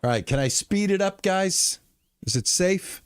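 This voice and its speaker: Relaxed, lively-voiced male game streamer. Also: high-pitched, unhurried, clear, resonant tone, no room sound. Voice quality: lulling, relaxing voice. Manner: relaxed, chatty, talks to chat. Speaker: gaming streamer. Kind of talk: live gameplay commentary.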